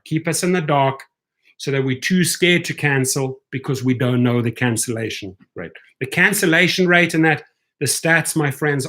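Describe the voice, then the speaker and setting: A man's voice: medium pitch, a bit bright. A middle-aged man with a South African accent hosts a rideshare livestream, reading viewer comments and rallying fellow drivers, often tagging on "right?". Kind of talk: live-stream chat reading viewer comments.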